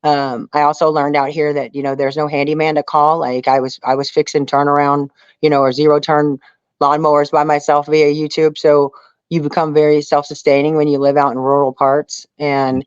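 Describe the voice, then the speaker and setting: A woman's voice: low voice, flat delivery, normal speed, a little mellow, clear, resonant tone. A woman from Texas chats as an interview guest, telling stories candidly and with some drama. The sound is dull and band-limited, with no room echo at all. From Houston, Texas area. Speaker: interview guest, outdoorswoman and reality show contestant. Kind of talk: casual storytelling in an interview.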